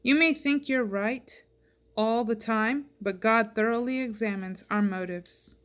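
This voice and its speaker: A woman with a fairly high voice reads scripture aloud. She phrases each verse plainly and clearly, as in an informal devotional reading. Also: a little slow. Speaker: scripture reader.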